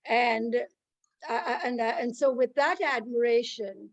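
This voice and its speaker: A woman from Guyana hosts a live online talk. Her voice sits a little high, and she speaks earnestly, with frequent restarts as she looks for the right words. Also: unhurried, muffled recording. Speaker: host introducing a speaker.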